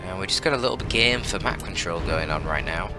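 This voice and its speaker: A man's voice: low voice, lively intonation, brisk, loud music behind the voice. A man giving live strategy game commentary in a candid, analytical, casually sweary way. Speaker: esports match caster.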